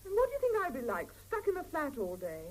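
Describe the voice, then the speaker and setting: A woman's voice: high voice, huge pitch swings, fast. An actress playing a weary, exasperated Soviet working mother with dry, sardonic delivery in a 1970s radio drama. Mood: indignant, hurt. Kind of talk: 1970s radio drama, dialogue and intimate first-person narration. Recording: hissy 1975 radio drama cassette, mono.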